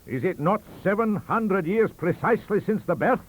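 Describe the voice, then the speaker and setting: A man's voice: sits high, animated, moderate pace, pitched above the performer's own voice, poor audio. A man voicing an indignant, combative Scottish academic, bellowing and declaiming in an audiobook story. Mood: proud, excited. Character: shouting, indignant Scottish male professors and librarian, combative, scornful.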